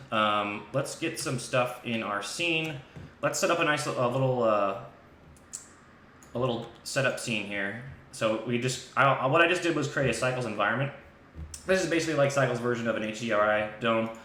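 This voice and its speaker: A man with a bright, forward voice leads a casual software tutorial on a live stream. He thinks aloud as he demonstrates, in an informal way. The room is clearly echoey, with a faint layer of background noise. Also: mid-range voice.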